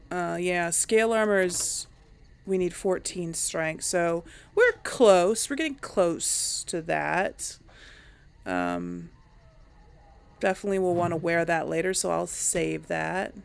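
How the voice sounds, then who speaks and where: A woman's voice: fairly low pitch, vocal fry. A woman giving casual gameplay commentary, thinking aloud to viewers and often trailing off. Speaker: let's play host.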